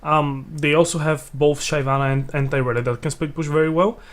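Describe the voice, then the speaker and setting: A man co-casting a video game match in a relaxed, halting way, repeating words as he thinks and laughing easily. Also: on the high side. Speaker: esports co-caster. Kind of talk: casual game commentary.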